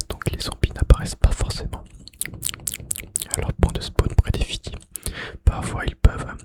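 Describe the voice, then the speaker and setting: A man whispering in a breathy, unvoiced voice with mouth sounds, in an ASMR style, softly repeating phrases. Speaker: ASMR video creator and host.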